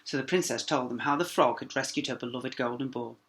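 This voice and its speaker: A man's voice: mid-range voice, brisk, fairly bright tone. A man narrating a children's story expressively as a teacher, with small asides to young listeners.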